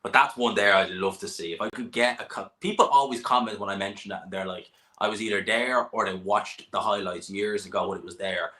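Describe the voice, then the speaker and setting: A man with a fairly low but bright, forceful voice hosts a casual boxing live stream. He teases his guest, laughs a lot and keeps the chat moving. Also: brisk, hardly any pauses. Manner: jokey, banter-heavy, laughs often. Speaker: boxing channel host.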